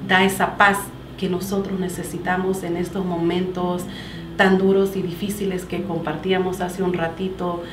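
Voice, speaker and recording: A woman's voice: fairly low pitch, flat delivery, moderate pace, recorded from a distance. Woman, Salvadoran accent, middle-aged, earnest testimony, echoey room, audible background noise. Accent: Salvadoran Spanish. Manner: earnest, quotes scripture. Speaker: guest. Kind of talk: personal testimony.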